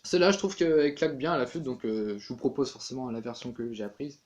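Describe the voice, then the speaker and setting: A man's voice: medium pitch, lively intonation, fast talker. A man giving a casual tin whistle tutorial, chatty, with many fillers and restarts.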